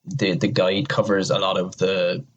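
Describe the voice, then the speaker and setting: A man's voice: low-pitched, completely flat delivery, normal speed, muffled recording. A man explaining things in casual podcast chat, rambling a little and circling back over his points. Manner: rambling, repeats himself for emphasis. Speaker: podcast panelist.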